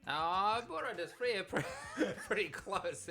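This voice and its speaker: A man's voice: high voice, very animated, moderate pace, vocal fry. Informal man from Afghanistan, music behind him. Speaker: podcast co-host. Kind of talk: casual personal storytelling.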